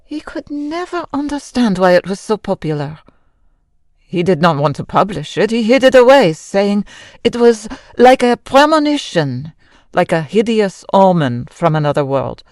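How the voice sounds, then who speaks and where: A woman playing a grieving, sorrowful Frenchwoman in an old-time radio drama, speaking formally and haltingly. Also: medium pitch, sing-song, a little mellow, no echo, clean studio sound, natural voice. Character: grieving Frenchwoman, wife of a mad composer, sorrowful.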